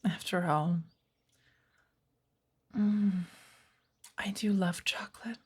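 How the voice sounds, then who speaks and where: A woman playing a patient, playful witch of the woods who gently teaches an apprentice in a soft ASMR roleplay, with a breathy voice. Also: medium pitch, fairly even intonation. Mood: playful, indulgent. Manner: slow, measured, gently instructive.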